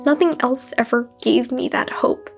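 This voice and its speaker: A woman's voice: sits high, moderate pace, vocal fry. A young woman playing a troubled, wistful psychiatric patient in an audio drama, talking in an earnest, wry, confessional way. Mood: bitter, yearning. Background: music.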